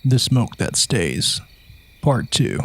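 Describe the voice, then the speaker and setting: A man reading scripted horror story narration in a husky, measured and solemn voice. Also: medium pitch, very animated, a bit bright.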